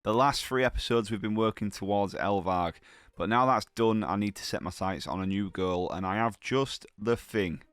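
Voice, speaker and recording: A man with a bad cold narrates his gameplay in a chatty, slangy, upbeat way. His voice sits fairly low and sounds smooth and clear. The sound is dry and close, with no room around it. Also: moderate pace, a bit bright, dry recording. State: has a heavy cold. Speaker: gaming channel host. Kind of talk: gameplay commentary.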